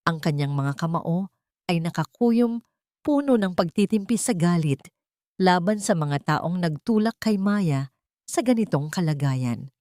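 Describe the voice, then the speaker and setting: A woman with a fairly low voice reads a dramatic story. Her delivery is solemn and melodramatic, in a close, dry recording. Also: lively intonation, measured pace, warm tone, no room sound. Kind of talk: dramatic scripted audio story narration.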